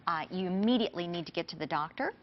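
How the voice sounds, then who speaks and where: A woman pharmacist on a TV segment, explaining in a brisk, confident way with many fillers. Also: on the low side, lively intonation, muffled recording, slight background noise. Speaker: pharmacist guest. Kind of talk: TV interview, explaining.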